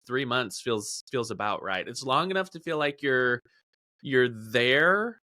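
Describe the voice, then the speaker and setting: A man's voice: mid-range voice, sing-song, a little slow, pushed and bright, dry recording, clean studio sound. A man in his thirties chatting casually as a podcast host.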